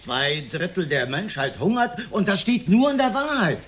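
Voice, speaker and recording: Declamatory old Austrian idealist, slightly noisy recording. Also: male voice, high-pitched, very animated, normal speed, fairly bright tone. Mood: dreamy, preaching. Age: older. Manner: rhetorical, declamatory, given to rambling reminiscence. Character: old idealistic socialist freethinker, pedantic, teetotal, rambling man. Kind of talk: radio drama acting, theatrical monologue.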